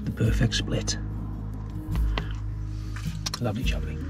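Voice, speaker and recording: A man's voice: lowish voice, takes it slowly. A man in his thirties with an English accent, chatting cheerfully to camera as a van life vlogger inside a parked camper van. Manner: chatty, cheeky, upbeat. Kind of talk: casual vlog chat to camera. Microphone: action camera on a mount. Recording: music playing underneath.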